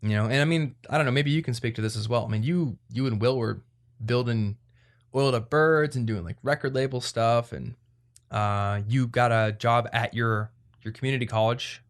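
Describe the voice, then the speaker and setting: A man's voice: mid-range voice, moderate pace. A man hosting an advice podcast, talking in a casual, conversational way as he explains things. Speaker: podcast host.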